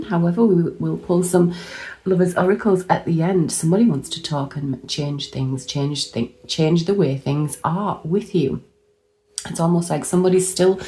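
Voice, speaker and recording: A hoarse woman with a low, mellow voice reads tarot cards in a chatty, unhurried way. She thinks aloud as she turns each card, trailing off and restarting mid-sentence. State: hoarse, recovering lost voice. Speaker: tarot reader. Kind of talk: casual tarot card reading.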